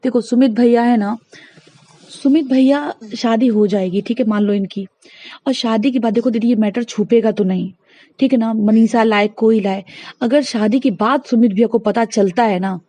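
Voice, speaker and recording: A woman's voice: a little high, normal speed, mellow, no echo. A woman talking casually in a recorded phone conversation, giving advice insistently and repeating herself for emphasis.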